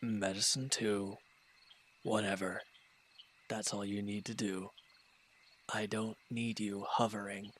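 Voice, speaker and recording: A man's voice: on the low side, little pitch movement, slow pace, pauses often, fairly bright tone. A man acting a proud, bitter, wounded villain in an audio roleplay, speaking in a curt, irritable, dismissive way. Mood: irritated, defensive. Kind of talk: dramatic roleplay audio monologue.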